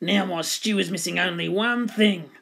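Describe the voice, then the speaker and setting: A woman's voice: lowish voice, moderate pace, vocal fry, a bit bright, breathy edge, exaggerated voice. A woman voicing a sly, greedy dingo in a children's storybook, exclaiming theatrically and gleefully. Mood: gleeful, scheming. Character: sly, greedy, very clever dingo cooking stew.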